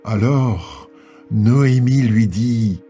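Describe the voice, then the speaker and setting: A man narrating dramatised scripture in a solemn, measured storytelling delivery. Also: medium pitch, mellow, faint music behind.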